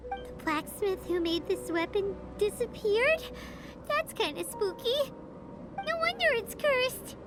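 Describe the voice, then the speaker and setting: A woman voicing a tiny, childlike fairy companion in a video game, squeaky, inquisitive and expressive. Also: slow, loud music behind the voice, full character voice. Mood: curious, uneasy. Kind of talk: video game dialogue acting.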